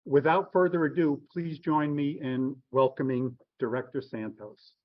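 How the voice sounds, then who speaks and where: A man's voice: fairly high pitch, fairly even intonation, a little slow. A man hosting an event, giving a formal, earnest introduction of the guest with a few restarts. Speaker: event host introducing the speaker. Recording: dull lo-fi sound.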